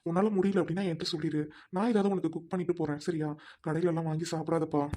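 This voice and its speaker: A man playing a kind young husband who soothes and apologizes to his wife in a gentle, playful way. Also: on the high side, fairly even intonation, very fast, natural voice.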